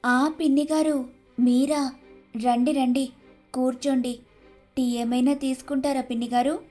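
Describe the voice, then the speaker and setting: A woman's voice: high voice, flat delivery, measured pace, dark tone, soft background music. A woman playing an earnest, respectful young daughter-in-law in a moral story. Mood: polite. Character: eager, grateful, trusting young daughter-in-law. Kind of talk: scripted storybook acting.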